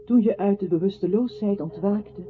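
A man's voice: sits high, moderate pace, slight background noise, close to an everyday voice. A male actor in a radio play playing an earnest, lyrical narrator who recites in an articulate storytelling manner. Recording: thin phone-like radio sound, faint music.

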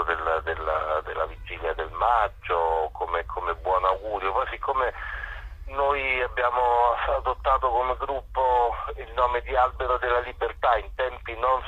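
A man speaking as a guest in a radio phone interview, explaining things in a halting, hesitant way. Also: low voice, bright, forceful tone, slightly rough. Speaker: guest musician presenting a concert. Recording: dull phone line, roomy echo.

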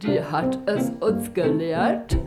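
A low female voice of a mock-grand cabaret entertainer. She recites slowly and declaims over loud guitar in a radio play. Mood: mock-solemn. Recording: loud plucked guitar accompaniment.

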